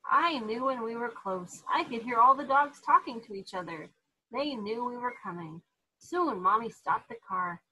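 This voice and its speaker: Lady doing an excitable little boy dog from a kids book, bright n husky, super innocent. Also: a little high, moderate pace, full character voice, poor audio. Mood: excited, happy. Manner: wide-eyed, childlike, exclaiming and questioning. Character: eager, innocent, excitable young male dog narrating his day.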